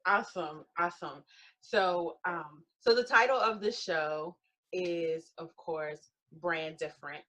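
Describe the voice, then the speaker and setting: Show host, woman, deep voice, casual and affirming. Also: slow, bright, forceful tone, low-quality recording. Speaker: podcast host. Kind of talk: casual interview chat.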